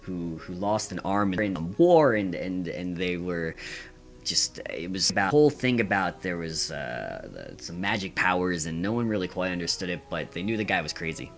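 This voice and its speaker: A man's voice: sits low, lively intonation, moderate pace, fairly bright tone. A man answering quiz questions casually as a podcast guest, thinking aloud as he goes.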